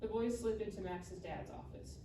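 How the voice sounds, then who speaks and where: A woman's voice: mid-range voice, normal speed. A woman reading scripted scene directions aloud in clipped phrases at a live table read. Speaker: stage-direction reader. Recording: echoey room, distant mic, background noise.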